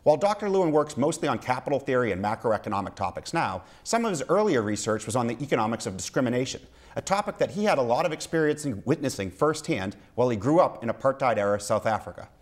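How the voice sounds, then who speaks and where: A young man hosting a studio interview show, asking questions briskly and introducing guests. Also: medium pitch, very animated. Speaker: show host. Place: TV studio set, seated in armchair.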